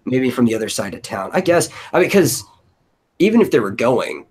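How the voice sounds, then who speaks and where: A man's voice: mid-range voice, sing-song, brisk, a little mellow, slightly rough. A man hosting a creative stream, chatting casually and informally as he thinks aloud. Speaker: host of an audio drama brainstorming stream. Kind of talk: casual group brainstorming chat.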